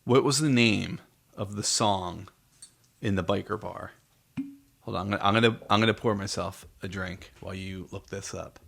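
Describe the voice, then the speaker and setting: A man's voice: fairly low pitch, moderate pace, vocal fry, fairly bright tone, slight background noise. A man co-hosting a casual film discussion, explaining trivia and putting questions to his co-host. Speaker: podcast co-host.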